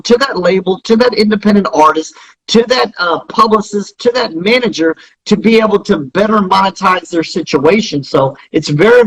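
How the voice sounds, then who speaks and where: A man with a notably high voice and a husky edge speaks as a guest in an interview. He explains his business earnestly and emphatically, in a promotional way, driving key points home. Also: fairly bright tone, rough recording. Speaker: interview guest, company founder.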